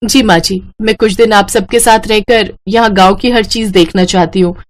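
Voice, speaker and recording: A woman's voice: medium pitch, fairly even intonation, normal speed, natural voice. A woman voicing an earnest, polite young city-bred daughter-in-law in a story, speaking respectfully. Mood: polite, eager. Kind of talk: acted Hindi moral story dialogue.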